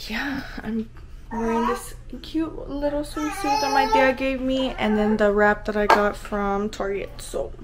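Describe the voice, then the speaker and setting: A woman's voice: a little high, unhurried. A woman vlogging casually outdoors at the beach, informal and giggly, often slipping into doting baby talk. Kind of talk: casual chatty family vlog. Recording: very noisy outdoor beach and vehicle sound.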